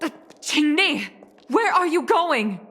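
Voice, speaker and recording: A woman's voice: sits high, sing-song, slow pace, fairly bright tone, heavily acted. A woman voicing a stern, protective mother and dignified commander in a video game, with an authoritative, formal delivery. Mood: worried. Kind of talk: dramatic video game voice acting.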